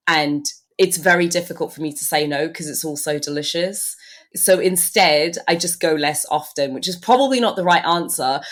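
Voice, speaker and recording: Podcast guest: woman, low pitch, British-sounding accent, chatty and laughing. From Turks and Caicos Islands. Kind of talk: casual interview chat.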